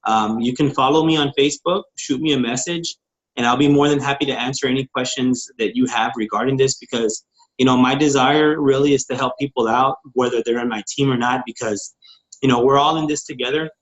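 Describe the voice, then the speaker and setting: A man from Texas explains his methods on a conference call, eager and friendly, with plenty of "uh"s and restarts. His voice sits at an ordinary middle pitch. The call audio is dull and muffled. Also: a little fast. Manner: eager, informal, with many fillers and restarts. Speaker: network marketing consultant. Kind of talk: casual teaching on a group call.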